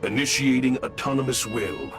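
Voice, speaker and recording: An actor playing a solemn, emotionless awakened guardian machine in a video game, speaking in clipped announcements. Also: male voice, mid-range voice, fairly even intonation, moderate pace, over music, close to an everyday voice. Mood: solemn, mechanical. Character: awakened male guardian construct, solemn and mechanical. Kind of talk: video game cutscene acting.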